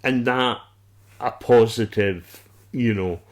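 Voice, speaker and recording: A man's voice: medium pitch, slow. A man chatting casually as a podcast host reviewing television, blunt, opinionated and free with his swearing.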